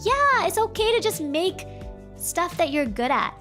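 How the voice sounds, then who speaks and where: A woman's voice: very high-pitched, sing-song, a little slow, a bit bright. A woman VTuber chatting casually on a stream, with a slightly broken voice and a rambling, chatty way of talking. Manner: rambling, chatty, answers chat. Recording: faint music behind.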